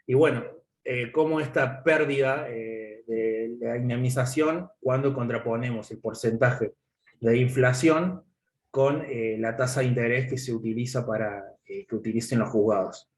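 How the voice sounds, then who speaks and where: A man giving a class presentation over a video call, halting and full of filler pauses. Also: mid-range voice. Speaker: student presenter. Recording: echoey room audio.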